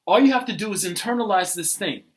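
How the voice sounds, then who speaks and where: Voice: mid-range voice, lively intonation, fast talker, natural voice. A performer playing an arrogant, self-righteous believer in a satirical dialogue, speaking with emphatic, impatient condescension and swearing. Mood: exasperated, preachy.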